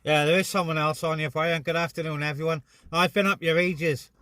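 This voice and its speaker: A man's voice: fairly high pitch, steady tone, a little fast, pushed and bright, dry recording. A man chatting casually and jokily on CB radio while filming outdoors, in a matey way. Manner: chatty, matey, joking exaggeration. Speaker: vlogger and CB radio hobbyist. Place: outdoors on a busy mountain top. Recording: clear vehicle and outdoor noise.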